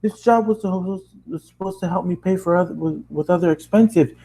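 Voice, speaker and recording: A man voicing his own enraged younger self in a spoken story, shouting at a companion after losing his job. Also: medium pitch, animated, takes it slowly, rough recording. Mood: furious.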